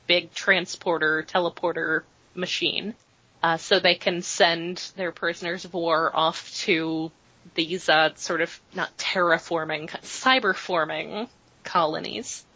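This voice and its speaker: Bright, husky-voiced woman podcast host. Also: on the low side, fairly even intonation, measured pace, no echo. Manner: chatty and rambling, full of fillers. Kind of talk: casual chat, recapping comics. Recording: dull lo-fi podcast audio.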